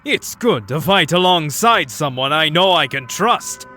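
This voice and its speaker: A man voices a jovial, scarred swordsmith in a fantasy drama. His voice is highish and forceful, swinging up and down as he talks with wry, hearty ease. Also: expressive, unhurried, soft background music. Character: jovial, battle-scarred swordsmith father and dragon hunter.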